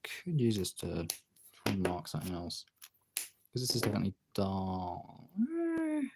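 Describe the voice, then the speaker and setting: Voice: low-pitched, wildly swooping pitch, measured pace, heavy background noise. A streamer chatting casually while drawing, absent-mindedly thinking aloud in half-muttered remarks.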